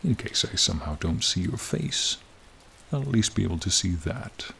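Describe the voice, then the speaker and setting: Man voicing a deep, gravelly, courtly dragon. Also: animated, a little hiss or hum, exaggerated voice. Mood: gracious, warm. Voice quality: soothing. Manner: formal, gently teasing, unhurried. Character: charming, friendly, ancient male dragon, soothing and courtly. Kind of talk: ASMR roleplay, slow intimate monologue. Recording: rain and cave ambience behind.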